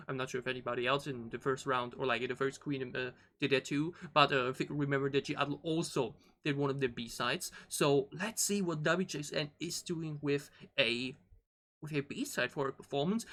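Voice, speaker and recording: A man's voice: a little high, pushed and bright. A man hosting reaction videos, talking casually in a rambling, enthusiastic way. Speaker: K-pop reaction video host.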